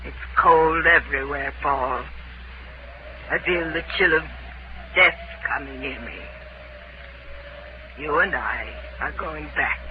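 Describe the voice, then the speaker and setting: A woman playing a doomed, fanatical wife in a 1940s radio drama, melodramatic and incantatory. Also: deep voice. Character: doomed, fanatical wife haunted by past death. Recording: muffled, noisy old radio recording.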